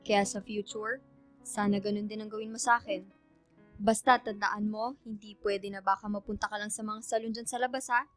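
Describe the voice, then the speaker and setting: A woman's voice: medium pitch, flat delivery, normal speed, a bit bright, lightly acted. Woman acting nagging Filipino mother, music behind. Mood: nagging, expectant. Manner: nagging, insistent. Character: demanding, guilt-tripping middle-aged mother. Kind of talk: radio drama acting.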